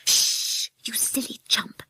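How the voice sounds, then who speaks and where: A woman voicing an anxious young girl who scolds her friends in a breathy hiss, in a storybook reading. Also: slow pace, pushed and bright, dry recording, whispered. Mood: scolding hiss.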